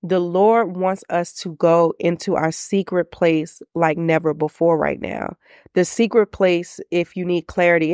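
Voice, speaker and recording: A woman in her thirties with a slightly low voice, stuffed up and nasal from a cold. She hosts a faith-based business podcast in an earnest, reflective, unhurried way. Her voice is recorded close and dry. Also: a little mellow, dry recording. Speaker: podcast host.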